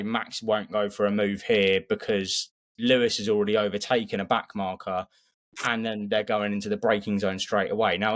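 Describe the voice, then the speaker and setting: A man with a fairly low voice talks sport as a podcast co-host. His delivery is conversational and opinionated, with firm emphasis on his points. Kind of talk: casual race analysis.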